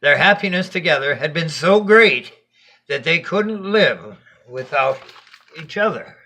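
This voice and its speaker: Male storyteller, bright forceful tone, husky edge, expressive bedtime narration, deliberate phrasing. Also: fairly high pitch.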